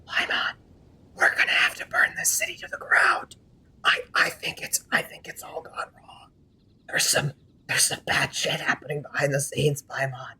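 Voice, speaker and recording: A woman's voice: low-pitched, flat delivery, normal speed, pushed and bright, slightly rough, whispered, low-quality recording. A woman streamer improvising fan-dub commentary over a game, sarcastic and rambling.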